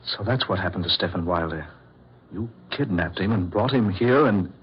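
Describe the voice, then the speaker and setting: An actor in an old-time radio horror play as an indignant, incredulous hero standing up for the heroine. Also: male voice, low-pitched, normal speed, slightly rough. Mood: incredulous, accusing. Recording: muffled vintage radio drama recording.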